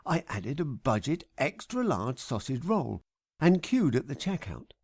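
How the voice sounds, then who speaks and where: A middle-aged man reading scripted first-person comic narration in a wry, deadpan, mock-grand manner. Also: medium pitch, measured pace, husky, no room sound, studio quality. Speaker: author reading own audiobook.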